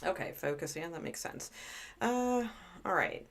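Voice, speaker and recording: A woman with a notably low, deep-set speaking voice reads tarot cards for a live audience. She talks in a loose, chatty way, restarting her sentences and leaning on fillers as she thinks aloud. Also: big pitch swings, a little slow, vocal fry, a bit bright. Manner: chatty and rambling, many fillers and restarts, greets viewers. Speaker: tarot reader. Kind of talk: live-streamed tarot reading.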